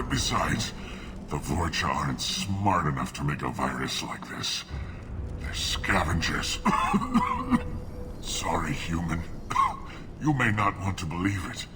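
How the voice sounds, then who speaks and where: An actor playing a bitter, plague-stricken alien in a video game, sick and weak, with grave, formal phrasing. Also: male voice, low voice, noisy background. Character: dying plague-stricken male alien, bitter, suspicious of humans. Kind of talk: video game dialogue acting.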